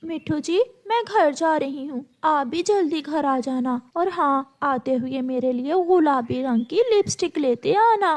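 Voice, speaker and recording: A woman voicing a gentle, affectionate female parrot wife in a children's cartoon. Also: sits high, measured pace, hardly any pauses, voice pushed up. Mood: sweet, affectionate.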